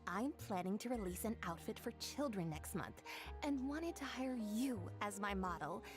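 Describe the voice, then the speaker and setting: Actress for a warm, confident boutique owner; brisk and polite. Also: female voice, mid-range voice, animated, close to an everyday voice. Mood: friendly. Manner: businesslike, friendly. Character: friendly confident female shop owner. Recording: game audio with faint music.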